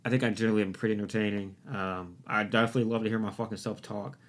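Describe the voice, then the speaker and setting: A young man with a somewhat low voice hosts his own podcast alone, speaking into a desktop microphone at home. He rambles freely, swears often and pokes fun at himself in a loose, casual way. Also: steady tone, very fast. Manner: rambling, profane, self-deprecating. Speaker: podcast host. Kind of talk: casual solo podcast monologue. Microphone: desktop USB microphone.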